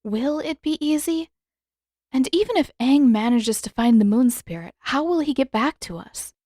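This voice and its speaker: A woman's voice: high voice, expressive, normal speed, warm tone, no room sound, studio quality, pitched above the performer's own voice. A woman voicing a polite, hesitant, concerned teenage girl in a story reading. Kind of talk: dramatic audiobook character reading.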